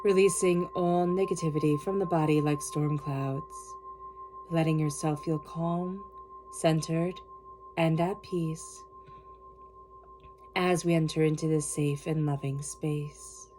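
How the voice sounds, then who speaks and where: A woman with a cold giving a tarot reading, speaking earnestly and slowly repeating her invocations. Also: low-pitched, fairly even intonation, music in the background, poor audio. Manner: soothing guided meditation. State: has a cold. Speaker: tarot reader.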